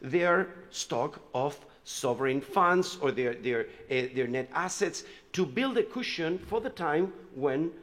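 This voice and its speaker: A man's voice: a little high, animated, takes it slowly, hardly any pauses, a bit bright, big-room sound. A man from Chile speaking on a conference panel, loose and conversational, with many fillers and restarts as he talks through his slides.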